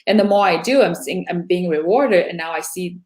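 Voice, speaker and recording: Reassuring woman coach in an echoey room. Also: on the low side. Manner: calm, reassuring, slows down for meditation. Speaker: intuition coach. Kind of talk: coaching session and guided meditation.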